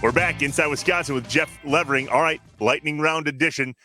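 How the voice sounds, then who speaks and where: A man's voice: fairly high pitch, fairly quick, music in the background. An American man hosting a podcast in a conversational style, steering segments and questions. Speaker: podcast host.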